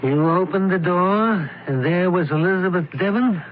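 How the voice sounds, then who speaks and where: A man's voice: high-pitched, moderate pace, lightly acted. A man playing a shrewd, commanding doctor-detective in a radio mystery, speaking in a clipped, imperious way. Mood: dry, commanding. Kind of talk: radio mystery drama acting. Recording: muffled, faintly noisy old radio broadcast.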